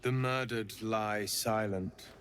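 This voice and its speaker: An actor playing a solemn otherworldly spirit of justice in a video game, speaking gravely in archaic phrases. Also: male voice, on the low side, fairly even intonation, slow, a bit bright, a little hiss or hum. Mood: grave, measured. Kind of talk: video game character dialogue.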